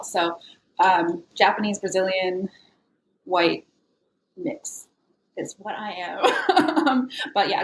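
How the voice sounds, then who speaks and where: A woman's voice: lowish voice, vocal fry. Woman, cheerful, laughs easily, echoey room, faint hiss. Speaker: guest, assistant professor. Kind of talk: casual self-introduction. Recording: roomy home recording with faint noise.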